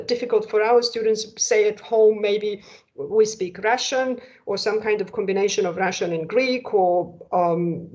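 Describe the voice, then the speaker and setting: A woman walks prospective families through a school program over a video call, explaining each option with examples and rhetorical questions. Her voice sits in a middling pitch, and the call audio sounds dull and lo-fi. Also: a little mellow. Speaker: school presenter. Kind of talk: online information session.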